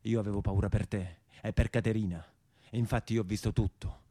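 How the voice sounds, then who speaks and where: A man playing an anguished young brigand talking to his mother in a hushed, bitter, urgent way, with a southern Italian accent. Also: lowish voice, steady tone, brisk, voice pushed down. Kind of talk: one-man theatrical monologue.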